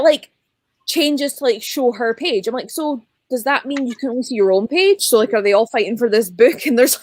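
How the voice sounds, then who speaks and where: A woman talks casually in a live book discussion. Her manner is blunt and slangy, her voice sits slightly high, and the sound is dry with little room around it. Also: no echo.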